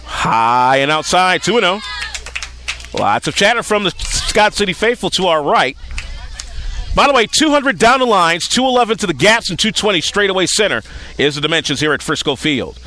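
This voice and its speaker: A man calling live softball play-by-play as a radio-style sports announcer, outdoors at a ballfield. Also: sits high, expressive, normal speed, a little hiss or hum.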